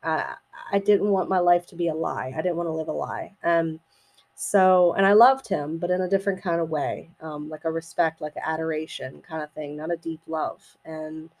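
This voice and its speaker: Podcast host: middle-aged woman, slightly raspy, on the low side, informal solo monologue, reflective and halting. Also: a little mellow. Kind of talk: casual personal podcast monologue.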